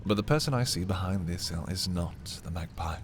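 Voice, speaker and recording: An actor playing a haughty, menacing prince taunting a captive thief in a dark fantasy audio roleplay, theatrical and condescending with dramatic pauses. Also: male voice, deep voice, slight background noise. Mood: mocking admiration.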